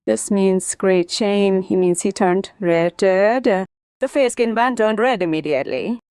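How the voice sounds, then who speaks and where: A woman from Bangladesh telling her life story as an interview guest, in an animated, emphatic manner. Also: mid-range voice, unhurried, clean tone, no room sound, clean studio sound. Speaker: interview guest, former sprinter.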